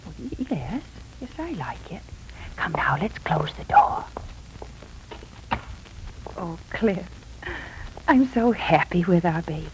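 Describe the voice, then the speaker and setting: A woman's voice: lowish voice, big pitch swings, takes it slowly, pauses often, noisy background. An actress playing an anxious, tender young wife and mother in a 1940s radio drama. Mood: tender, hushed. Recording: old 1940s radio recording with music.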